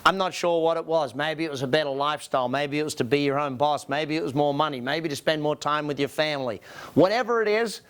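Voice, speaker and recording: A man's voice: fairly high pitch, quick pace, fairly bright tone, smooth. A man with an Australian accent speaking as a business coach to an audience in an emphatic, motivational seminar style, putting rhetorical questions to listeners. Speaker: business coach and company founder, presenting.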